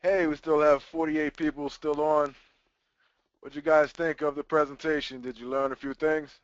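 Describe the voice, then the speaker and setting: A man's voice: fairly high pitch, little pitch movement, normal speed, no echo. A man hosting a live webinar, chatting casually with fillers like "you know". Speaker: webinar host. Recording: dull lo-fi webinar audio.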